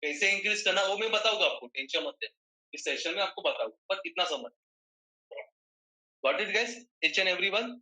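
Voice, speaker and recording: An Indian man's voice, very bright and forceful, a little high for a man, in a roomy, echoing space. He teaches like an online trainer, repeating key phrases. He keeps turning to his listeners to check that they understand. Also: expressive, moderate pace, pauses often. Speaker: online digital marketing trainer. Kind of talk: live online lesson, mixing Hindi and English.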